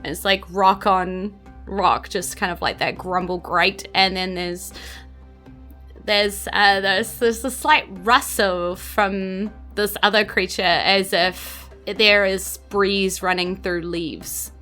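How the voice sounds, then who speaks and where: A woman's voice: medium pitch, steady tone, slow pace, bright, forceful tone. A woman narrating a tabletop fantasy game as game master. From New Zealand. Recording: faint music bed behind speech.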